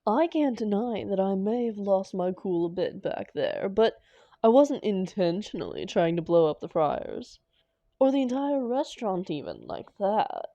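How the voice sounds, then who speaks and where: A woman playing a doting, defensive supervillain lover in an intimate roleplay audio drama, coaxing theatrically with endearments and dramatic pauses. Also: medium pitch, huge pitch swings, warm tone, no room sound, highs cut off. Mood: defensive, pleading.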